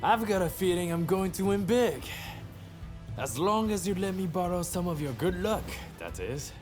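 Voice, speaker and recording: A man's voice: high voice, sing-song, moderate pace, music in the background. A man playing an easygoing, cheeky young samurai gambler in a video game cutscene, with a breezy, teasing manner.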